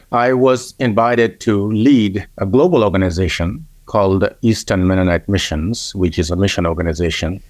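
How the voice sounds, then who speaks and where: A middle-aged man from Kenya, speaking as an interview guest in a measured way with frequent pauses. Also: lowish voice, no echo. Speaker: interview guest, organization president. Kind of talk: conversational interview.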